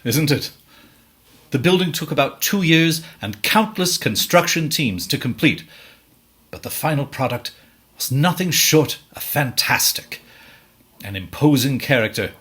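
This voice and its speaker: Man as a animated, theatrical dark storyteller. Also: on the high side. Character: theatrical male storyteller of a dark true-crime tale. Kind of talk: scripted dramatic narration.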